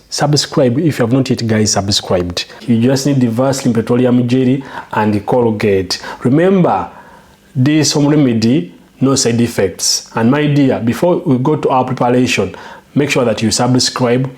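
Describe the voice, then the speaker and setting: A man's voice: mid-range voice, moderate pace, a little mellow. A man from Uganda with a Ugandan English accent, hosting a how-to video. He talks emphatically and promotionally, often checking in with the viewer. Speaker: channel host.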